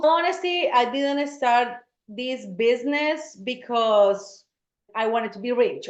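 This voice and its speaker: A woman from Colombia, speaking English, tells her story as a guest on a podcast. Her voice sits fairly high, and she laughs easily. The recording is roomy and slightly muffled. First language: Spanish. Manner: laughs easily, earnest and chatty. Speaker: guest, real estate agent. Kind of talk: casual interview talk. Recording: echoey, dull-sounding room.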